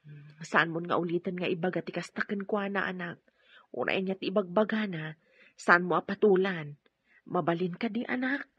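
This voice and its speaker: A woman acting a patient, apologetic poor mother in an Ilocano audio drama, with a husky voice. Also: lowish voice, normal speed, no echo. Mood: soothing, concerned. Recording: dull, lo-fi dry recording.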